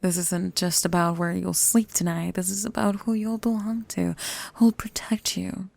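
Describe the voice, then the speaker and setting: Woman acting out haughty goth lady pirate, possessive seductress, creaky low voice, very dry close sound, languid ASMR murmur. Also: flat delivery, moderate pace, a little mellow, dry recording. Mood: romantic, possessive. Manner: languid, sultry, breathy murmuring. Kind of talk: scripted ASMR roleplay.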